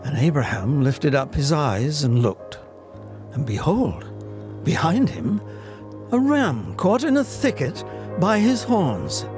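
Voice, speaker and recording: A man's voice: a little high, big pitch swings, a little mellow, soft background music. A man in his seventies with an English accent acting a solemn, stately narrator of Old Testament stories in a dramatic reading. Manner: grave, theatrical, drawn-out.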